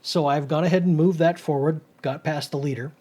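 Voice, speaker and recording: A middle-aged man with a voice pitched a little above average. He presents in a casual, explanatory hobby-video style. His delivery is informal and a bit rambling as he walks through each step. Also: fairly even intonation, a little fast, warm tone. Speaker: host of a retro tech video series. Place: small home studio, indoors.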